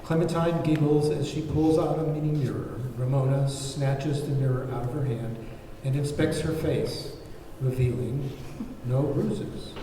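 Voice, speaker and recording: A man's voice: mid-range voice, steady tone, measured pace, warm tone. A man narrating, reading out the stage directions of a script at a table read. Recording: very echoey room, faint background noise.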